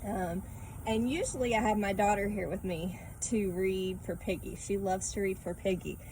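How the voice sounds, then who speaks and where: A woman's voice: mid-range voice, steady tone, moderate pace, dark tone, slight background noise, low-quality recording. A woman reading a picture book aloud to children, with casual asides and simple questions to the listener.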